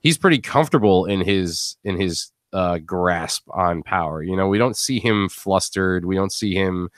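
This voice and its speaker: A man's voice: low voice, animated, moderate pace, no echo, clean studio sound. A man talking casually and with slangy phrasing as a host in a panel discussion. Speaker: podcast host and audio drama actor.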